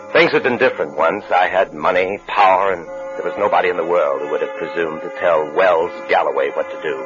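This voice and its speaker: An actor playing a bitter, disgraced lawyer and husband in a 1950s radio suspense play, brooding and curt. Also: male voice, deep voice, natural voice. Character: bitter, disgraced lawyer husband recounting his own downfall. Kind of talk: 1950s radio suspense acting with first-person narration. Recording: muffled old radio broadcast recording.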